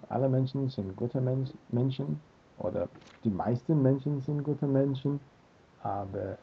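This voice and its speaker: A man's voice: mid-range voice, breathy edge. A man in his thirties from Australia, talking to his webcam in a small room, hesitant and searching for words with many fillers. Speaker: language learner vlogger. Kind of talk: casual talk to camera. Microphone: webcam built-in mic. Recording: tinny phone-like lo-fi sound.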